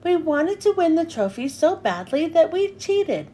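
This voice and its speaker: Lady doing a squeaky loud earnest sponge guy, super excitable. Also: a little slow, exaggerated voice. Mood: confessing. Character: cheerful anxious young male sponge.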